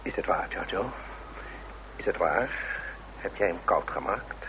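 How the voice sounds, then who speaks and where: Menacing, wide-swinging gangster brother. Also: male voice, deep voice, expressive, measured pace. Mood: probing. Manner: bullying, scolding, insistent. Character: domineering, menacing criminal older brother. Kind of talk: radio play acting. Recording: noisy old radio drama recording.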